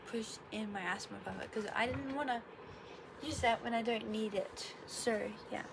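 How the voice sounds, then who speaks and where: A young woman, a bit congested, chatting casually in her bedroom, rambling and correcting herself with sarcastic asides. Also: medium pitch. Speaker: vlogger. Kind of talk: casual explainer vlog. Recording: noticeable room noise.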